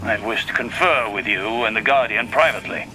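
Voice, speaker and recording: A man's voice: medium pitch, noisy background, lightly acted. Proud, scholarly advisor played by a man. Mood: probing, intent. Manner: deliberate, formal. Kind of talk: video game cutscene dialogue. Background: music.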